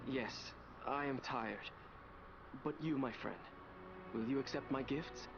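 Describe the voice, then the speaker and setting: An actor playing an earnest, grateful young hero in a fantasy game, speaking formally and from the heart. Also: male voice, medium pitch, takes it slowly, creaky, lo-fi sound, background noise. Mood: grateful, weary. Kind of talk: video game cutscene acting. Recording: loud music behind the voice.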